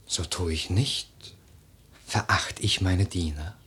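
A man's voice: deep voice, slow pace, fairly bright tone, full character voice. An actor playing a grieving young widowed nobleman, declaiming verse with brooding intensity in 1940s radio drama style. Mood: impassioned, insistent. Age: young adult. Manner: declamatory, poetic, impassioned. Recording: husky-sounding old 1949 radio recording.

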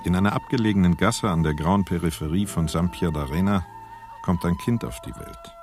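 A man narrating a radio play as a scripted storyteller, measured and wry. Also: low voice. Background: music.

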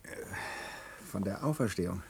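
Low, husky, patronising male state secretary. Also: animated, moderate pace, full character voice. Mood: deferential. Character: condescending, self-important male state secretary. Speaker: actor in a radio play. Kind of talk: German radio drama acting.

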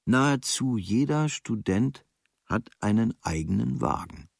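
A man reading a literary travel diary in a measured, observational way, with clipped phrases. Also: mid-range voice, expressive, vocal fry, no echo, studio quality. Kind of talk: scripted literary diary narration.

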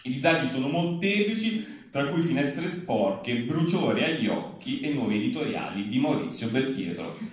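A man's voice: mid-range voice, expressive, moderate pace. A man reading a satirical news bulletin live, with an emphatic newscaster delivery that drops into each punchline. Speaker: satirical newsreader on stage. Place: large echoey venue at a live reading event. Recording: very echoey hall, distant, faint noise.

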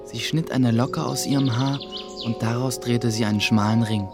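A man's voice: mid-range voice, steady tone, normal speed, over music, lightly acted. An actor playing an earnest young apprentice who tells his own story reflectively in a German radio play. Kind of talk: radio play narration and dialogue.

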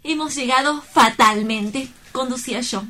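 An actress playing an excitable, romantic young female reporter, dramatic and gushing in a theatrical comedy. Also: high-pitched, unhurried, full character voice. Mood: nervous. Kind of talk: theatrical stage-comedy acting.

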